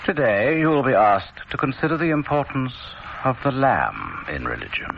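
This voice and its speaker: An actor playing a sinister male horror anthology host, narrating theatrically with a deep, gravelly voice. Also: very animated, normal speed, noisy background. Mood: ominous. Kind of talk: 1970s-style radio drama narration. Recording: muffled old radio broadcast. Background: loud eerie music bed.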